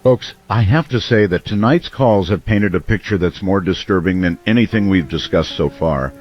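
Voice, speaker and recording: An actor playing a solemn, earnest late-night small-town radio host in a scripted radio drama. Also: male voice, low-pitched, moderate pace, lightly acted. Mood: grave, concerned. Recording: dull band-limited radio sound, music behind.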